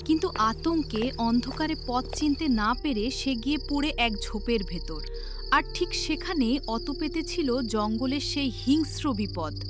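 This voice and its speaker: A woman's voice: high voice, lively intonation, measured pace, music in the background. A woman narrator reading a scripted story in a dramatic storytelling style.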